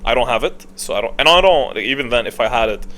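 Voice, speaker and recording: A man's voice: mid-range voice, expressive, fast talker, sharp tone, low-quality recording. A man giving casual, unscripted gaming commentary in a blunt, opinionated way, swearing now and then. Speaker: video game streamer giving tier rankings. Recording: clear background noise.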